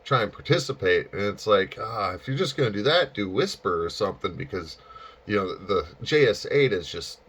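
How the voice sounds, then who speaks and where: Lower-pitched man, casual ham radio chat. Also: expressive, normal speed, a bit bright, breathy edge. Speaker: amateur radio operator.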